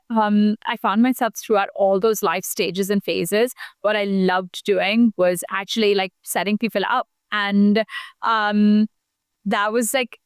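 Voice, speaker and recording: Brief: young woman from India, outgoing podcast guest, smooth clear voice, dry close sound. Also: mid-range voice, no room sound. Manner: chatty, many fillers, outgoing. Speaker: podcast guest, founder and matchmaker. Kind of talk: casual interview talk.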